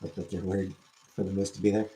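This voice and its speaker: A man's voice: low-pitched, level tone, fast, warm tone. A man hosting a livestream, rambling and joking as he reads chat messages aloud. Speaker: livestream host. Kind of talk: casual chat.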